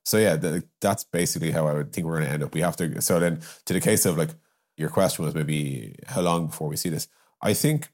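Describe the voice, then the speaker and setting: Guy with really low voice, talks quick, casual biz chat, lots of examples. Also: a little mellow. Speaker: podcast guest, software company strategist. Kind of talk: casual business discussion.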